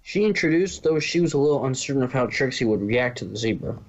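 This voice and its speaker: A man's voice: mid-range voice, expressive, quick pace, highs cut off. A man reading a story aloud as narrator, now and then stumbling and correcting himself. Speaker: narrator, fan fiction reader.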